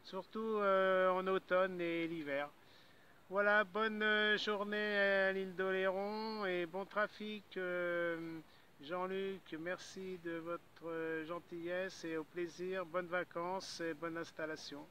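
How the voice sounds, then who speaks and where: A man with a bright, forward voice sitting a little high. He chats casually as a radio amateur, hesitating and adding little tags as he goes. There is faint noise behind him. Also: flat delivery, takes it slowly.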